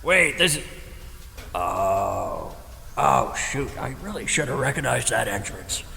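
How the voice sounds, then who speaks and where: An actor playing a cocky, dim, reckless adventurer in an audio drama, hearty and bombastic. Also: male voice, medium pitch, lively intonation, slow, gravelly, bright, forceful tone, exaggerated voice. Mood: sheepish. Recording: long cavernous reverb, music underneath.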